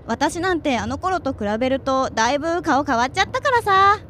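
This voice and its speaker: A woman's voice: sits high, animated, brisk, a bit bright, slight background noise. An actress playing a friendly, forward, sporty woman in her twenties in a radio drama, chatting casually and directly. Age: 20s.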